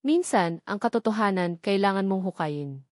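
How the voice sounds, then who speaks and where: A woman's voice: medium pitch, lively intonation, moderate pace, darkish tone, no echo, clean studio sound, close to an everyday voice. A woman voicing a curious, pitying old village gossip who confides in hints, in an audio drama. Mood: knowing, confiding. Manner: hinting, confiding.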